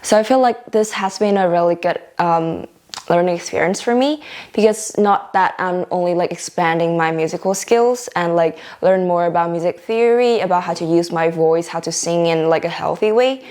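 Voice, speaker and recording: A young woman college student with a soft voice, speaking casually in an interview. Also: lowish voice, normal speed. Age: young adult. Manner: casual, frequent "like" fillers.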